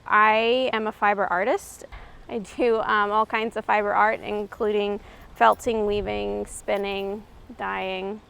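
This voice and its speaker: A woman in her thirties from Maryland, a fiber artist explaining her craft in a relaxed, chatty way with frequent ums. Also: medium pitch, slow, vocal fry, smooth. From Maryland, USA. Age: 30s.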